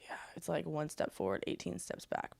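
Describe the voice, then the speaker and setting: A young woman co-hosting a fitness podcast, chatting casually, often laughing and pausing on "um". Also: sits low, animated, gravelly, a little mellow, dry recording. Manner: giggly, hesitant with many ums. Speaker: podcast co-host, fitness coach. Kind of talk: casual chat.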